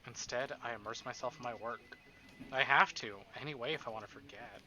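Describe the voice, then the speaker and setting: A man's voice: medium pitch, a little fast, background noise. A man livestreaming a visual novel, reading it aloud dramatically and adding casual joking asides.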